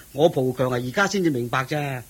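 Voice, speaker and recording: A man's voice: mid-range voice, animated, moderate pace, a bit bright, breathy edge. A man playing a simple, good-natured traveller in a Cantonese radio drama, inquisitive and folksy, chuckling and exclaiming as he talks. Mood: admiring, cheerful.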